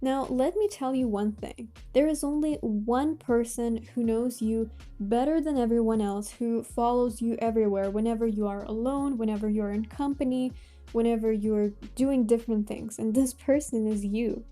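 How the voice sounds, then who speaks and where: A woman with a Russian accent delivers motivational advice in a gently high, mellow voice. Her phrasing is measured and deliberate, with pauses that stress key words. Faint music plays behind her. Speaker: host of a self-improvement channel. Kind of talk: motivational advice talk to viewers.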